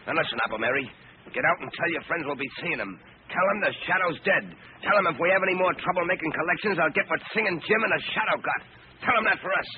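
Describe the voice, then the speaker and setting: An actor playing a bossy, threatening male gangster in an old radio crime drama, gruff and slangy. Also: on the high side, quick pace, lightly acted. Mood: gloating, threatening. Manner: gruff, slangy, barking orders. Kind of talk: 1930s-40s radio crime drama acting. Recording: tinny, noisy old radio recording.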